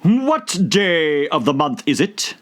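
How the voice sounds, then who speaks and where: Weird grumbly tea party man, high pitched, really slow drawn out words, quizzical. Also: very animated, a bit bright, exaggerated voice. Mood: grumbling.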